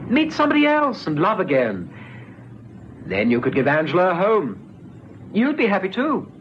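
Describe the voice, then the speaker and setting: Radio drama: a patient, coaxing constable, very animated delivery, muffled vintage recording. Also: male voice, sits high. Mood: coaxing, earnest. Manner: chatty, persuasive, pausing. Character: gentle, coaxing police constable, patient negotiator. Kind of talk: 1970s radio drama acting. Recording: muffled old radio recording, faint noise.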